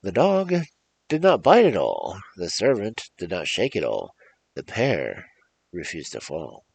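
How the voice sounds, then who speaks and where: Deep gravelly man telling stories theatrically. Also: wildly swooping pitch, a little slow, a little mellow, dry recording. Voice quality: gravelly, husky. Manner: theatrical, rhythmic emphatic delivery. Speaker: storyteller, show host. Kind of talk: animated performed fairy-tale reading with casual asides.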